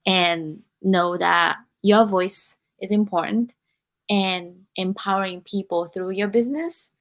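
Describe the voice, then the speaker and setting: A woman from Japan, a non-native English speaker, hosting a live podcast interview and encouraging her guest while pausing to search for words. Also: mid-range voice, creaky, a bit bright. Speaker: podcast host.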